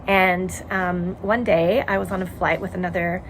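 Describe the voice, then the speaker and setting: A woman in her forties from Montreal with a Canadian accent, hosting a casual knitting podcast from home and rambling warmly with many ums and uhs. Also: lowish voice, fairly even intonation, normal speed, background noise. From Montreal, Quebec, Canada. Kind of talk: casual chat. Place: home in a tiny house.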